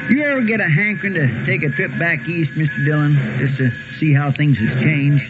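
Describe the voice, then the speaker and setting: A man's voice: fairly high pitch, brisk, over loud music, close to an everyday voice. A man with an American accent playing a folksy, eager, deferential marshal's sidekick with a rural drawl in a 1950s radio western. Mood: folksy, musing. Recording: tinny 1950s radio recording.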